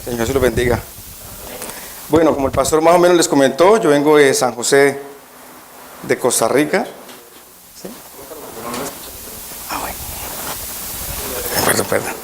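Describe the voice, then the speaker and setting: A man's voice: medium pitch, expressive, unhurried, pauses often, slight background noise, rough recording. A man preaching as a guest in a church, at first nervous, then emphatic, often putting questions to the congregation. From Colombia. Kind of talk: church sermon.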